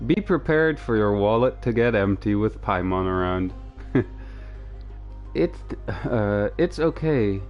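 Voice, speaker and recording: A man's voice: fairly low pitch, animated, slow pace, lo-fi sound. A man casually commentating a game livestream and reading dialogue aloud, hesitant and rambling, with a dryly joking touch. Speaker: video game streamer. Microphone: close streaming microphone. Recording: game music behind the voice.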